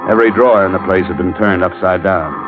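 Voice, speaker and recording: An American man playing a wry, world-weary insurance investigator in a 1950s radio detective drama, with a sardonic, clipped, deadpan delivery. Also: low voice, fast talker, lightly acted. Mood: wry, narrating. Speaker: lead actor and narrator. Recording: muffled vintage radio recording with music.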